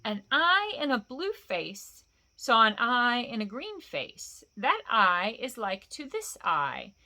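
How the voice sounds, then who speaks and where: A woman voicing a small, anxious but quick-witted male hobbit who blurts out eager answers. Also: fairly high pitch, big pitch swings, pushed and bright, full character voice. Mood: puzzling.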